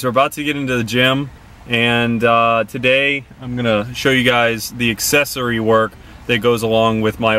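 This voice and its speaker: A young man in his twenties, talking casually and quickly to the camera held at arm's length, vlogging about his workout. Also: mid-range voice, background noise. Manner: quick, chatty, loose with fillers. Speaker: fitness vlogger. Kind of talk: casual vlog narration. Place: in a car, then gym voice-over, then kitchen.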